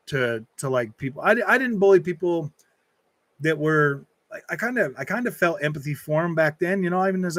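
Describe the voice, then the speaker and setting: Male streamer, early 40s, voice a touch high, casual rambling delivery full of slang, dry sound. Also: no echo. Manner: rambling, repetitive, slangy, calls listeners dude, man and bro. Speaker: gaming channel streamer. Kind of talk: casual livestream chat, answering and reading out viewer comments.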